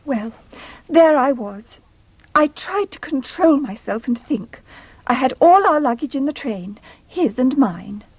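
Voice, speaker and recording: An actress playing a distressed, indignant young English wife, speaking with well-bred, plaintive drama in a radio farce. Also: female voice, sits high, lively intonation, measured pace, a little mellow, breathy edge, dry recording, exaggerated voice. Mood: aggrieved, dramatic. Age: young adult. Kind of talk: stage farce acting for radio.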